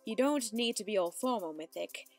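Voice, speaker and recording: A woman's voice: mid-range voice, expressive, normal speed, close to an everyday voice. A woman voicing a gracious, reassuring royal cartoon pony queen, with music behind. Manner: reassuring, regal. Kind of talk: animated cartoon dialogue.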